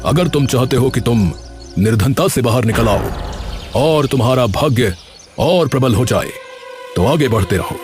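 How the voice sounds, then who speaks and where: A man playing a solemn, mysterious old wandering sage in a cartoon story, speaking in measured, formal pronouncements. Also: mid-range voice, animated, close to an everyday voice. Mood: solemn, assuring. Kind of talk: Hindi cartoon story character dialogue. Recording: music behind the voice.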